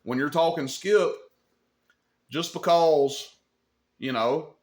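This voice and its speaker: A man's voice: fairly high pitch, takes it slowly. Plainspoken man explaining radio gear off the cuff. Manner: emphatic, repeats points, checks in with "okay?"; plainspoken, folksy wording. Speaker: CB radio shop video host. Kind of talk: unscripted explainer talk to camera.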